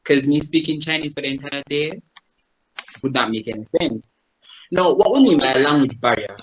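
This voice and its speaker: A man's voice: on the high side, normal speed, lo-fi sound, slight background noise. Jamaican man, language teacher presenting over a video call, explanatory and conversational. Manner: explanatory, asks the audience "right?" often. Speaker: guest presenter, Chinese teacher. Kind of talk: online presentation to a group.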